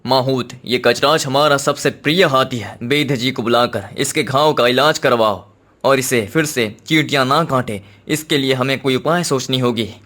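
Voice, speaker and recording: A man's voice: mid-range voice, moderate pace, a bit bright, close to an everyday voice. A man playing a commanding Indian king with a formal, authoritative manner in a children's cartoon story. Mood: concerned, resolute. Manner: formal, authoritative, giving orders. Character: commanding Indian king, fond of his elephant.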